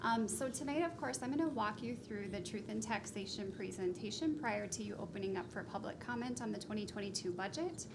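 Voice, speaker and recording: A soft-spoken woman presenting budget figures to a city council, polished with occasional ums. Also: mid-range voice, expressive, a little fast, hardly any pauses. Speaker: finance consultant presenting. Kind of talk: formal presentation. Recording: noisy meeting room recording.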